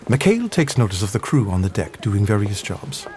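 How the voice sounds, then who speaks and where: A man serves as narrator of an audio adventure. His voice is on the low side, reading scripted story prose. There is a little noise behind him. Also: sing-song, normal speed, a little mellow.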